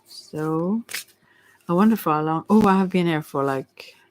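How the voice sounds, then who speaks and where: A tarot reader giving casual, gently encouraging spiritual advice in an ASMR livestream, rambling hesitantly and often trailing off mid-thought. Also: medium pitch, measured pace, a little hiss or hum, poor audio.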